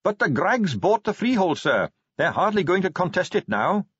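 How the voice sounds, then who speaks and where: A man's voice: high voice, very animated, normal speed, a bit bright, slightly rough, no echo, pitched above the performer's own voice, exaggerated voice. A man voicing an earnest, polite young captain of the watch who explains things eagerly. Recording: slightly dull, dry studio read.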